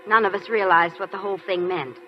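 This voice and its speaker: A dry-witted young nurse officer, played by a woman, teasing and quick, narrating her own story. The sound is a dull, muffled 1940s radio broadcast with faint music behind. Her pitch sits in an ordinary middle range. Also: steady tone, natural voice. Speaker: Army nurse lieutenant and narrator. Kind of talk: 1940s radio drama acting.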